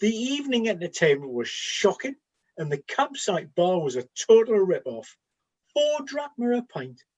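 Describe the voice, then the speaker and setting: Comedy radio play: actor as down-to-earth ancient sentry, husky mid-pitched voice, lively intonation, conversational delivery. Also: moderate pace. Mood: bored, musing. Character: bored, observant, matter-of-fact Trojan night-watch soldier in a spoof. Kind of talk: comic radio play acting.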